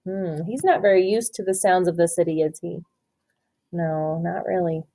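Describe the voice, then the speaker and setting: A woman doing an interactive picture-book read-aloud for children, expressive and often asking questions. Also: fairly low pitch. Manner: expressive, asks questions and pauses for answers. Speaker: storytime reader, teacher.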